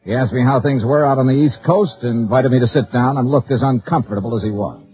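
An actor playing a brisk, wry male insurance investigator in a 1950s radio detective show, clipped and businesslike. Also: medium pitch, lightly acted. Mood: dry, businesslike. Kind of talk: 1950s radio detective acting and first-person narration. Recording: muffled 1950s radio recording.

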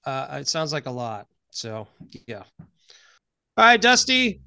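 A man's voice: mid-range voice, big pitch swings, slow pace, vocal fry, sharp tone, clear, resonant tone, no echo, clean studio sound. A middle-aged American man talking casually as a podcast co-host. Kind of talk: casual chat.

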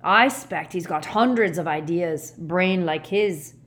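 A woman voicing a huge, shaggy, anxious man with a hoarse growl and slow rustic speech in a storybook. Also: lowish voice, a bit bright. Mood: worried, secretive.

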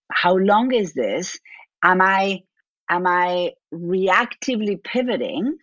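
A woman's voice: on the low side, dry recording, muffled recording. A woman with an Argentine accent, a business owner answering interview questions and thinking aloud with restarts and repeats.

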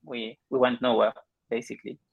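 A man's voice: medium pitch, level tone, fairly quick, creaky, narrow, tinny audio. A man from Belgium whose first language is French talks casually in an interview, with only a faint trace of a French accent. He speaks in loose, restarting phrases as he works his way through a thought. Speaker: startup founder guest.

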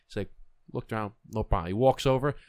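A man's voice: on the low side, quick pace, a little mellow, no echo. A man hosting a podcast, telling rambling stories in casual chat. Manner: rambling storyteller, laughs often. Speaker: podcast host. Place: podcast studio. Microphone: studio microphone.

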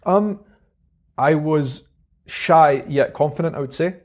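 A young man with a Scottish Edinburgh accent, a guest in an interview, talking about his past in a relaxed, halting way with many pauses and restarts. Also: fairly high pitch. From Scotland. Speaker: guest, vlogger. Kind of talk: casual interview chat.

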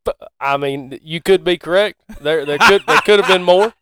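A man's voice: high voice, moderate pace, fairly bright tone, clear, resonant tone, no room sound, studio quality. A man with a Southern US accent telling stories in casual podcast chat, in a folksy, joking way.

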